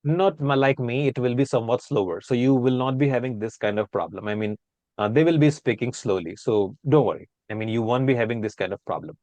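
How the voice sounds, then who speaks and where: A man with an Indian accent teaching English, talking quickly and flowing on with few pauses. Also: medium pitch, darkish tone, no room sound. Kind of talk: conversational accent lesson.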